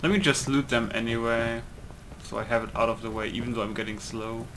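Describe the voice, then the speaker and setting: A man's voice: medium pitch, steady tone, a little fast, a bit bright. Casual male gamer over noisy gameplay. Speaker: gamer and video host. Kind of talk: casual gameplay commentary, part role-play.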